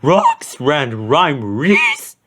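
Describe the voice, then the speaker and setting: Big goofy scared cartoon dog, talks slow, mangles every word with r sounds. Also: mid-range voice, wildly swooping pitch, noisy background, full character voice. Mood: gulping worry. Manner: garbled words, R sounds replacing first consonants. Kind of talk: cartoon voice in storybook read-aloud.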